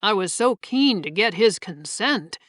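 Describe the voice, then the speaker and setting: A woman's voice: medium pitch, expressive, unhurried, a bit bright, no room sound, studio quality. A woman voicing a persuasive male lawyer who stammers apologetically. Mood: flustered.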